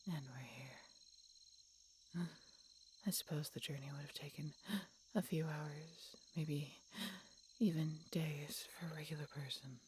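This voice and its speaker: A woman playing an ancient, injured female dragon in an audio roleplay, speaking tenderly and haltingly in a breathy whisper, close to the listener. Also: deep voice, steady tone, darkish tone. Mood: gentle, content. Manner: slow, halting, tender delivery with long pauses. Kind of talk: audio roleplay acting, addressed intimately to the listener. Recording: clear background noise, night insects. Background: night ambience with crickets.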